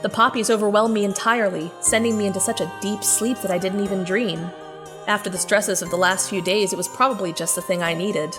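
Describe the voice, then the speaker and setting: A woman's voice: medium pitch, steady tone, brisk, over music, close to an everyday voice. An actress playing a plucky, sardonic girl traveler who tells her story in a wry, conversational way in an audio drama. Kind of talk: audio drama narration and dialogue.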